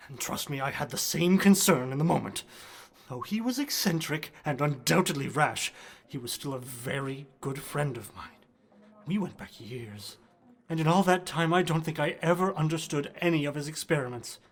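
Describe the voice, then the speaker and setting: A man acting an earnest, anxious gentleman in a horror radio drama, speaking formally and dramatically. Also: fairly high pitch, normal speed. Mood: troubled, subdued. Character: earnest, anxious gentleman recounting uncanny experiments.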